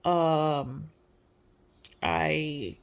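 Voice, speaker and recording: Woman vlogger, stuffy nose, slow unhurried delivery, soft and hesitant with frequent ums. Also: sits low, fairly even intonation. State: congested. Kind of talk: casual personal update.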